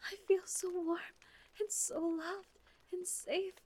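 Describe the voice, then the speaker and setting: A woman performing an intimate audio roleplay in a breathy whisper, murmuring softly and pleadingly with sighs. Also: very high voice, flat delivery, takes it slowly.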